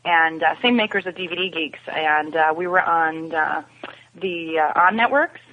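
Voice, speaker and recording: A woman's voice: low-pitched, normal speed. Woman guest on a dull lo-fi line. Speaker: podcast guest and occasional co-host. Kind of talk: casual chat. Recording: dull, lo-fi remote-call sound.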